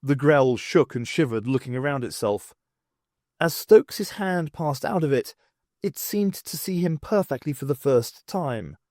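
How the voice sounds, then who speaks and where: Expressive male audiobook narrator, very dry sound. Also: fairly high pitch, a little slow, dry recording. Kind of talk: scripted narration of science fiction.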